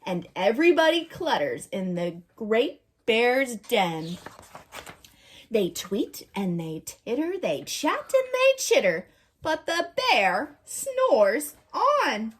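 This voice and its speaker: A woman's voice: on the high side, wildly swooping pitch, slow pace, breathy edge. A woman reading a picture book aloud to children as a storytime reader, dramatic and emphatic, drawing out words.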